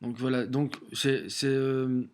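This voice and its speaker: A man with a cold, talking alone to his camera at home in a halting way, with many repeated words and restarts. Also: mid-range voice, level tone. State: has a cold. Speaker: vlogger sharing daily messages. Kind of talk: casual home video monologue. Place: small room at home, facing a window.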